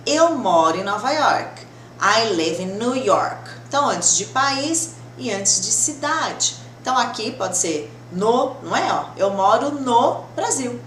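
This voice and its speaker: High-pitched Brazilian woman teaching in an echoey room. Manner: didactic, reassuring, repeats with check-in questions. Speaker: English teacher. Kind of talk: conversational grammar lesson to camera. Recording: roomy echo, clear background noise.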